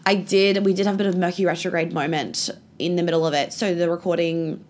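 A woman with a husky, hoarse voice from laryngitis, hosting a podcast in a casual, candid chat and swearing freely. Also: fairly low pitch, level tone, fast talker, darkish tone. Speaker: podcast host and life coach. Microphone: video call.

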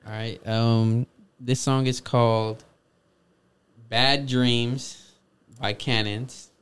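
A man in an everyday, mid-pitched voice co-hosts a podcast in loose, casual chat. He talks bluntly and with plenty of slang, pushes back hard and swears without a second thought. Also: flat delivery, extremely slow, clear, resonant tone. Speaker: podcast co-host.

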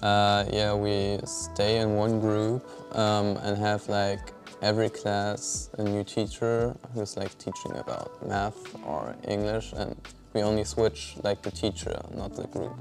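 Young dude talking casual, low flat voice, uh like, faint music. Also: male voice, measured pace. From Germany. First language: German. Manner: hesitant, many fillers. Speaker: guest student. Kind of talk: casual interview answers.

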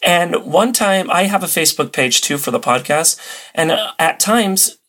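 A man's voice: high-pitched, animated, moderate pace, fairly bright tone. A man speaking as a podcast guest, giving casual, conversational answers in a friendly, easygoing way. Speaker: podcast guest, old-time radio hobbyist.

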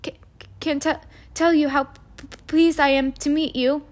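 A woman's voice: sits high, flat delivery, normal speed, voice pushed up, lightly acted. Woman playing a stammering, nervous young male professor. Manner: heavy stammer, muttering.

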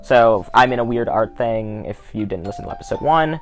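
A man's voice: fairly low pitch, animated, brisk, soft background music. A teenage male with an American accent, hosting a casual interview podcast with sarcastic asides.

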